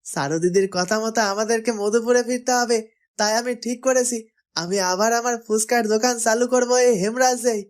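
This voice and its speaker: A woman's voice: fairly high pitch, normal speed, a little mellow, lightly acted. A woman voicing a loyal, cheerful young street-food seller in a cartoon. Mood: determined.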